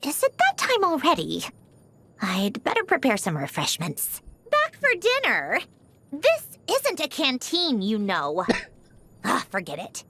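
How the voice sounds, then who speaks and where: Casting: haughty marionette villain, game character. High register, hugely varied intonation, prim, dismissive, theatrical. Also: female voice, wildly swooping pitch, measured pace, a bit bright, full character voice. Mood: annoyed, dismissive. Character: haughty, refined female marionette automaton villain with a soft side. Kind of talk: scripted video game character voice lines.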